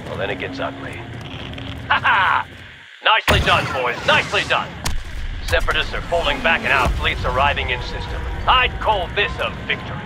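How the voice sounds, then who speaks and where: An actor playing a gruff, hearty clone army commander giving soldierly orders in a video game. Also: male voice, on the high side, very animated, moderate pace. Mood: triumphant. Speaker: military commander. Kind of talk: video game mission briefing. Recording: battle noise behind.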